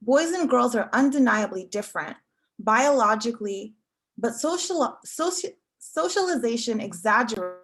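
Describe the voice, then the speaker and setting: Higher-pitched woman reading prose aloud. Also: animated, normal speed, fairly bright tone, breathy edge, rough recording. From Africa. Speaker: event reader.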